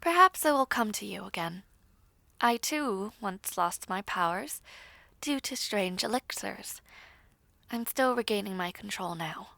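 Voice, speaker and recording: A woman voicing a composed, proud young princess in a fantasy audio roleplay, candid, stately and deliberate in her formal speech. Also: medium pitch, expressive, vocal fry, pushed and bright, no room sound, heavily acted. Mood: calm, firm.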